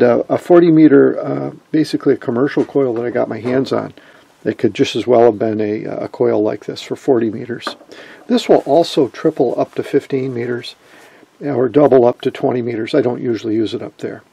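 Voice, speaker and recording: A man giving an informal, unscripted technical show-and-tell as a radio hobbyist, explaining in a halting, matter-of-fact way. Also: mid-range voice, gravelly, dark tone, slightly rough. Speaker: amateur radio hobbyist presenting homemade gear.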